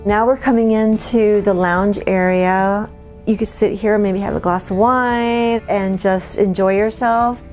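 Homeowner, female, middle-aged, native Spanish speaker from Cuba; casual house-tour delivery, mid pitch, music underneath. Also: steady tone, a little slow, darkish tone. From born in Cuba. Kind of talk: casual guided home tour. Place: small wood-panelled house interior. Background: background music.

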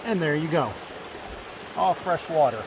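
A man's voice: a little high, expressive, unhurried. A man vlogging casually while hiking a forest trail, chatting into his phone and sometimes out of breath. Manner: chatty, narrating while walking. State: out of breath at times. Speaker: hiker vlogger. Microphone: handheld phone. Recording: faint wind and stream noise.

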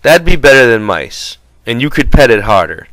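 A man's voice: mid-range voice, very animated, moderate pace, a bit bright, no room sound, low-quality recording. A man voicing an impatient but protective migrant ranch hand in an audiobook, with blunt, folksy rural speech. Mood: conciliatory.

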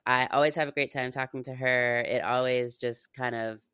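A woman hosting a podcast, chatting in a relaxed, conversational way with her guest. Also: rumbling low, normal speed, clear, resonant tone, no room sound, clean studio sound. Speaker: podcast host. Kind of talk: casual podcast interview.

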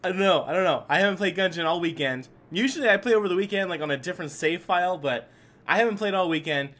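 High-pitched, bright-voiced man doing game commentary. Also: fast talker. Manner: animated, talks to himself and to game characters. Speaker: gaming video host. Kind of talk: excited let's play commentary. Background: video game music.

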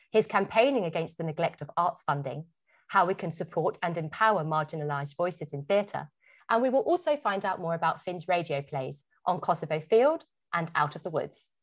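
A woman's voice: lowish voice, expressive, moderate pace. A woman host speaking in a scripted, presenterly way as she introduces an interview.

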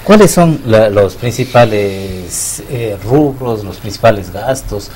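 A man's voice: lowish voice, animated, takes it slowly, darkish tone, soft background music, poor audio. A middle-aged man hosting a local TV interview in a small studio, asking long, formal questions with filler sounds. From Ecuador. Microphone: desk mic on stand.

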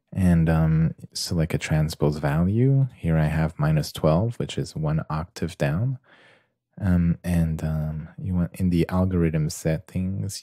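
A man presenting a casual software tutorial in a hesitant, halting way with many pauses. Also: very deep voice, dry recording, clean studio sound.

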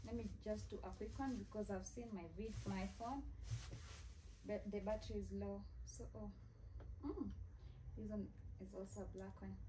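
A young woman talks chattily through a home haul, circling back to the same phrases as she unpacks. She is recorded on a phone set a few feet away on the sofa, and there is clear noise under her voice. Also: medium pitch, steady tone, normal speed. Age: young adult. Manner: chatty, repeats "yeah" and "this is how it looks like". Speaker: lifestyle vlogger. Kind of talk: casual haul unboxing chat. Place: living room, seated on a sofa. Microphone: phone camera a few feet away.

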